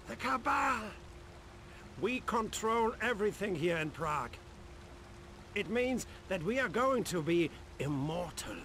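A man's voice: high-pitched, sing-song, takes it slowly, long pauses, fairly bright tone, slight background noise, exaggerated voice. An actor with a German accent playing a gloating, menacing male cult guard in a video game. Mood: threatening. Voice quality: heavy accent, theatrical menace.

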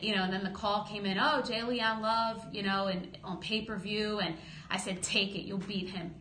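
A woman in her thirties, a boxing promoter, telling her story in a lively podcast interview at a close studio mic. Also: mid-range voice, moderate pace. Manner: lively storytelling, gestures while talking, acts out quotes. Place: small studio office, indoors. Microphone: studio boom mic close up. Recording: roomy studio with faint hum.

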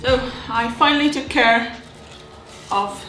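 Woman, 30s, halting casual hobby chat, slightly high voice, roomy home recording. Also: a bit bright, faint music behind. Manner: chatty, halting, frequent restarts and fillers. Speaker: miniature terrain hobbyist. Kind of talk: casual hobby vlog narration.

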